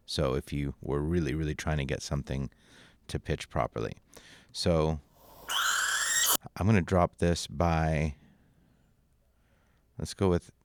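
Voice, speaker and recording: Male software instructor, deep voice, relaxed screencast delivery that thinks out loud, dry sound with no room. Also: no echo. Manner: informal, thinking aloud. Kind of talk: casual screen-recorded tutorial narration.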